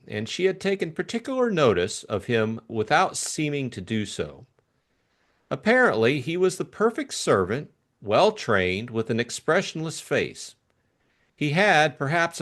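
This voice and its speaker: Man, audiobook narration, expressive, medium pitch, animated melody. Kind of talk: expressive scripted narration of a classic novel.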